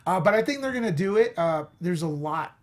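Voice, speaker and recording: A man on a panel of film critics, talking in an emphatic, opinionated way in a lively discussion. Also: sits high, brisk.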